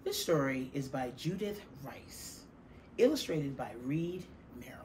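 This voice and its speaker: Slow, low-voiced woman reading a storybook. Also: very animated, darkish tone. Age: middle-aged. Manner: deliberate, emphatic, dramatic stresses on key words. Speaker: teacher reading a picture book aloud to children. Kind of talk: expressive children's storybook reading. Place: small classroom, indoors. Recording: faint room noise behind the voice.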